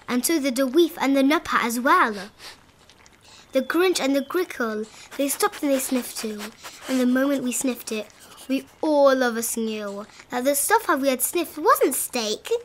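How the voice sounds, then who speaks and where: A woman reading a children's storybook aloud in an expressive, dramatizing manner. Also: high-pitched, a little slow, low-quality recording. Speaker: read-aloud narrator of children's picture books.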